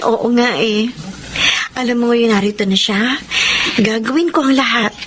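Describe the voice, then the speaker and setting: A woman's voice: a little high, a little slow, a little hiss or hum, natural voice. A woman playing a devoted, respectful grown daughter in a radio drama. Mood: confiding.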